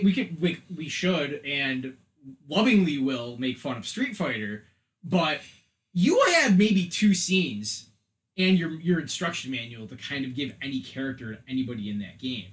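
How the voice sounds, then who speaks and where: A man's voice: on the high side, a bit bright. A man hosting a casual film and game podcast, talking in a rambling, profane way full of joking comparisons. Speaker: podcast host. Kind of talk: casual chat about films and video games.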